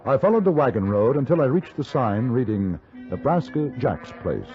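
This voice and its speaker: A man playing a wry, polite English reporter in the West, with a measured, understated delivery in a radio drama. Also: mid-range voice, big pitch swings. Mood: wry storytelling. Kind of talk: first-person radio drama narration and dialogue. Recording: muffled 1950s radio, music behind.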